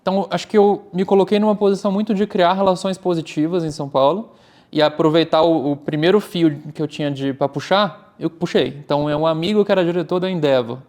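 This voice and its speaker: A man's voice: fairly high pitch, quick pace. A Brazilian man, a startup founder talking candidly and energetically as an interview guest. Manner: candid, animated, uses "cara" often. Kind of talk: casual interview talk.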